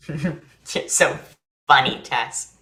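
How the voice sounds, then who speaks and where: A woman's voice: deep voice, very slow, bright, forceful tone, exaggerated voice. A woman playing a curious, earnest young boy in a staged drama reading, with an informal, questioning way of talking. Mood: friendly, amused.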